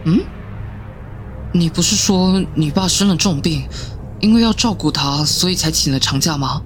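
A friendly young student voiced by an actress with a slightly low girlish voice. She chats with concern in a radio-drama scene, faint ambient noise around her. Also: normal speed, lightly acted. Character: friendly, chatty young female classmate.

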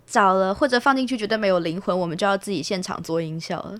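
A young woman with a Taiwanese Mandarin accent, answering casually as a student interviewee and pausing to think. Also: mid-range voice, fairly bright tone. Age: young adult.

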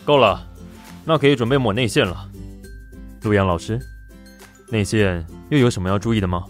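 An actor voices a seductive, mischievous pastry chef with a low-pitched voice. He speaks Taiwanese Mandarin in a smooth, teasing, doting way, as in a romantic radio play. Soft music plays behind him. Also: normal speed, natural voice. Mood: calm instructing. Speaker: voice actor in audio drama. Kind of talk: Mandarin BL audio drama acting.

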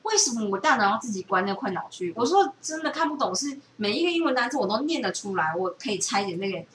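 A woman's voice: a little high, expressive, fast talker, fairly bright tone, distant mic, a little hiss or hum. A woman with a Taiwanese accent chatting casually as a co-host, dropping English words into her talk. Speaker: podcast co-host. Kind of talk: casual chat.

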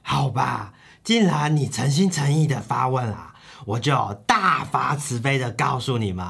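A man's voice: medium pitch, lively intonation, measured pace, vocal fry, fairly bright tone, husky, heavily acted. A man playing a patient, wealthy ancient Babylonian who teaches younger men in a radio drama. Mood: calm, obliging. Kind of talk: radio drama dialogue adapted from a comic.

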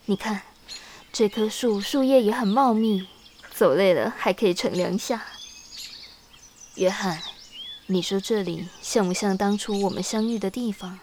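A woman's voice: mid-range voice, a little slow, long pauses, darkish tone, a little hiss or hum, natural voice. A woman playing an anxious, melancholy young nineteenth-century wife in a Mandarin radio drama, confiding her unease. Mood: dreamy.

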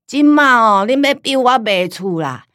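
A woman's voice: on the high side, animated, measured pace, a bit bright, no echo, clean studio sound. Stubborn old Taiwanese grandmother. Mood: agitated, pleading. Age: older. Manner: rambling, folksy storytelling with sighing interjections. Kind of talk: radio drama acting in Taiwanese Hokkien.

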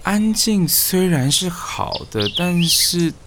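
Young man, Taiwanese Mandarin, actor playing wry new neighbor in audio drama; unhurried, swooping intonation, noisy background. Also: sits high, lively intonation. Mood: musing. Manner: muttering to himself, playful. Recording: clear noise behind the voice.

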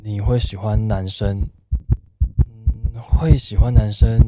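A man playing a wordy, lovestruck high school boy in a radio drama, given to mock-solemn, theatrical turns. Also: fairly even intonation, measured pace, dark tone, a little hiss or hum, close to an everyday voice. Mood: nervous. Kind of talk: radio drama dialogue and inner monologue. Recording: music behind the voice.

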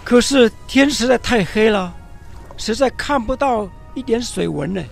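A man's voice: very high-pitched, lively intonation, moderate pace, creaky, soft background music, exaggerated voice. An actor playing a plain-spoken, fretful old fisherman in a biblical radio drama, with a theatrical, plaintive delivery. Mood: grumbling.

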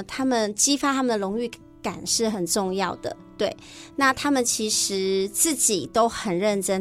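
A woman's voice: a little high, fairly bright tone. A woman teacher with a Taiwanese Mandarin accent, explaining things conversationally as an interview guest.

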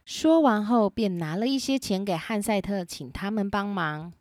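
Woman storyteller for a kids' show, gentle, mid pitch, dry close sound. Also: animated, normal speed, no room sound. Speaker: storyteller host. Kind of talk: children's story narration. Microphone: simple home recording setup.

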